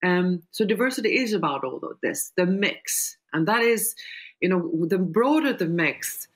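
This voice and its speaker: An older woman speaking on a video panel in a measured, halting, explanatory way. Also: lowish voice, animated, husky. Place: small furnished room indoors. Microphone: video call.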